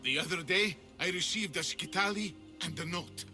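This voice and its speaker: A fussy excitable greek sculptor in a game, really bright voice, theatrical, bit of music behind. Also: male voice, high voice, normal speed. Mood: anxious. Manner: theatrical, pompous, effusive. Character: excitable, anxious, self-important male ancient Greek sculptor. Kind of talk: video game character acting.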